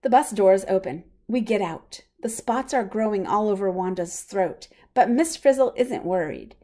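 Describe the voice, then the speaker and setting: A woman's voice: medium pitch, expressive, normal speed, dark tone. Woman reading a children's storybook aloud. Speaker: reader of a children's picture book read-aloud.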